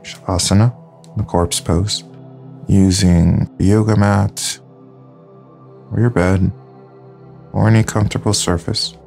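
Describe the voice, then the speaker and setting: A low, gravelly male voice guides a slow meditation, speaking softly and calmly with long pauses between phrases. Faint music plays underneath. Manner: soft, calm, unhurried instructions with long pauses. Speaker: yoga nidra guide.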